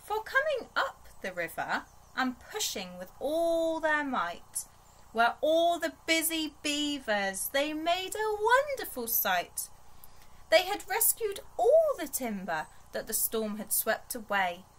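A young woman reading a rhyming picture book aloud to small children in an expressive storytelling manner. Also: high-pitched, slow. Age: young adult. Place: small bedroom, indoors.

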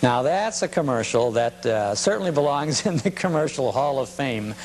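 A man hosting a nostalgia program, giving halting, conversational commentary and reminiscing about vintage commercials. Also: medium pitch, lively intonation, heavy background noise.

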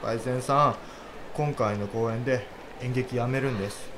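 A young man played by an actor speaks politely and hesitantly, like a hapless junior in a naturalistic radio drama. There is clear background noise around his voice. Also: medium pitch, steady tone, moderate pace, lightly acted. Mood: hushed concern.

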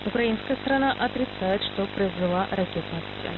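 A woman reads scripted news at a brisk pace. Her medium-pitched voice sounds thin and narrow over shortwave. Strong hiss and rumble cover the broadcast. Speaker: news presenter. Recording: phone-like shortwave radio, loud hiss.

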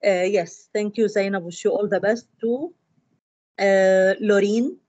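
A woman's voice: medium pitch, measured pace, darkish tone, dry recording, lo-fi sound. A woman teaching an English class over a video call, encouraging and mixing in Arabic words. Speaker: English lecturer. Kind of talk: online class.

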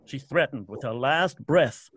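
A man's voice: medium pitch, a little slow, breathy edge, lo-fi sound. A man playing a folksy, uneasy graveyard caretaker with a hesitant, rustic manner in an old-time radio drama. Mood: ominous, folksy.

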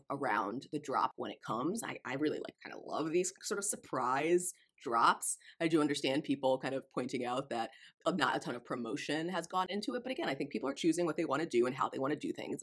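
A woman in her thirties hosting a music reaction channel, musing casually and thinking aloud with small restarts, recorded in a small room. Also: low-pitched. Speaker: K-pop reaction channel host. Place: small room with lit shelves of collectibles. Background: faint music video audio.

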